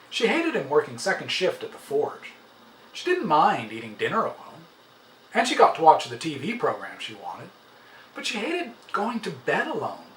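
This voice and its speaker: A man reading a short story aloud as a dramatic, expressive narration. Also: high-pitched. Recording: roomy, slightly distant, faint noise.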